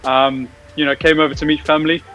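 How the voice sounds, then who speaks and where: Man, UK-softened southern African accent, fairly high voice, casual interview guest, music in background. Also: level tone, quick pace, poor audio. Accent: southern African softened by years in the UK. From southern Africa, grew up in Zimbabwe and South Africa. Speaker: guest, car YouTuber.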